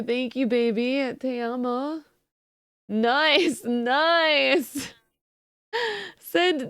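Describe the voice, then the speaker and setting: A woman streamer with a slightly high voice chats loosely with her viewers, sounding a little sleepy since she just woke up. Her voice is dry and close, with no room around it. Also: clean tone, no echo. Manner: chatty, thanks viewers warmly and giggles. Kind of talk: casual live-stream chat.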